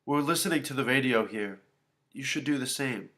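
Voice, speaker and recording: Man playing a worried father, tender and halting. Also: medium pitch, fairly bright tone, natural voice. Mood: tender, urgent. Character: worried loving father, trying to sound brave.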